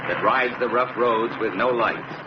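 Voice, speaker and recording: A man's voice: mid-range voice, a little slow, heavy background noise. An American man reading scripted 1960s military radio documentary narration in a solemn, dramatic way. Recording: dull old radio recording, distant, loud music.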